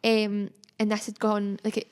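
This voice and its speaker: A woman's voice: medium pitch, monotone, normal speed, clean tone. A teenage Scottish girl chatting casually as a podcast guest, filling her talk with likes and ums. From Scotland. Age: teens. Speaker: guest pupil. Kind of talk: casual chat. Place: school classroom.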